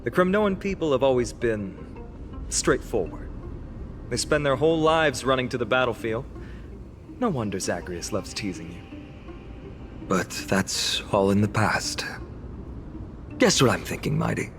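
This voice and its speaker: A man's voice: medium pitch, lively intonation, measured pace, long pauses, fairly bright tone, faint music behind. A male actor playing a playful, boastful warrior in a video game, with a theatrical, teasing manner.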